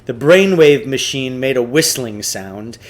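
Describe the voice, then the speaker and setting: Unhurried, expressive man reading a story. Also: medium pitch. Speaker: teacher reading a book aloud. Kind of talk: storybook read-aloud narration.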